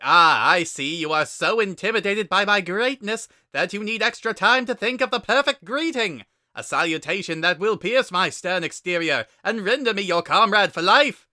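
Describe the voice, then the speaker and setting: A loud grandiose hero type for a story, high voice, super pompous but big-hearted. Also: male voice, animated, moderate pace, few pauses, no echo, studio quality, exaggerated voice. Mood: boastful, encouraging. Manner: bombastic, grandiose, declaiming. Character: loud, pompous, big-hearted young male would-be royal guard. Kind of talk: dramatic reading of fan fiction.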